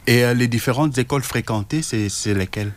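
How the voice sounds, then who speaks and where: A man's voice: mid-range voice, brisk, no room sound. A man hosting a radio interview, with frequent hesitations. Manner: hesitant, many filler sounds. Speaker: radio interviewer, show host.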